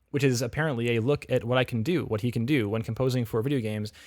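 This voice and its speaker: A man's voice: medium pitch, no room sound, clean studio sound. A man hosting a livestream, chatting casually and giving frank feedback on game audio reels. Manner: chatty, frank, full of restarts and fillers.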